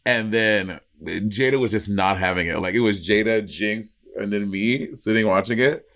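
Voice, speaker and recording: A man in his thirties with an American accent co-hosting a casual review chat, retelling moments in an animated way with acted-out quotes. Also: on the low side, moderate pace. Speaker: podcast co-host. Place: small indoor room at home.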